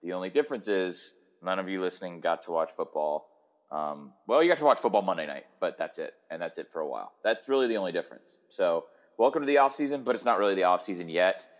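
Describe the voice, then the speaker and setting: A man hosting a sports podcast, hoarse and hungover, rambling casually with a sardonic streak. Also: deep voice, expressive, fast talker. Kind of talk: casual football podcast chat.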